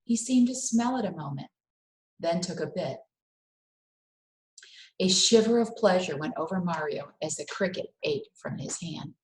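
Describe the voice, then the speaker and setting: A woman reading a children's story aloud in a careful, expressive storytelling manner with dramatic pauses. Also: lowish voice. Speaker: read-aloud host. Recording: roomy, echoing indoor sound.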